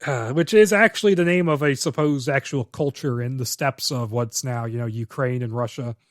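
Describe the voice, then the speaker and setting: A man's voice: mid-range voice, normal speed, dry recording, clean studio sound. A man co-hosting a podcast, recapping a film script plot in detail and giving blunt, opinionated takes with casual swearing. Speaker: podcast co-host.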